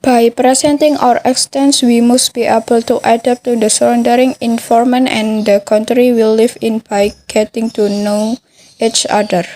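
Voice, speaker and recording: A woman's voice: a little high, flat delivery, a little slow, dark tone. A teenage girl on a student podcast, reading her part and switching into English. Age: teens. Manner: reads text, switches into English. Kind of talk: school podcast presentation.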